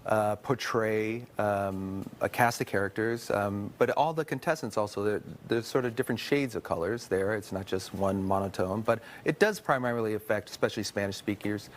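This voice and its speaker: A man's voice: on the low side, normal speed, few pauses, a little hiss or hum. A man talking conversationally as an illustrator being interviewed.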